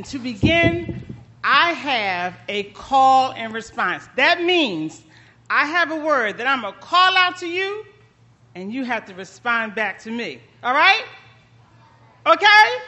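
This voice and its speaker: High, bright, slow-paced woman storyteller. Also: big pitch swings. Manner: dramatic, emphatic, with long deliberate pauses. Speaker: children's storyteller. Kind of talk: high-energy interactive folktale performance.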